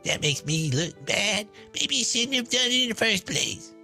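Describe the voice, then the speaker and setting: A man's voice: sits high, sing-song, normal speed, creaky, bright, forceful tone, faint music behind, pitched above the performer's own voice, heavily acted. A man voicing a brash, smug, chain-smoking woman in a dramatized monologue, sneering, profane and boastful. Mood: mocking, indignant.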